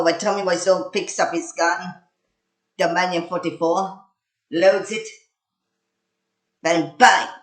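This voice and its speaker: Man voicing blunt sweary squad member, highish voice, rambling, hesitant, amateur audio drama, echoey room. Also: a bit bright. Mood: alarmed, pleading. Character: blunt, foul-mouthed male squad member named Johnson.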